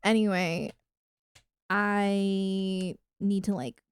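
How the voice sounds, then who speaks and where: A woman's voice: mid-range voice, fairly even intonation, drawn-out words, clean tone, no room sound. A woman livestreamer chatting casually with her viewers in an enthusiastic, emphatic gamer way. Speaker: video game livestreamer.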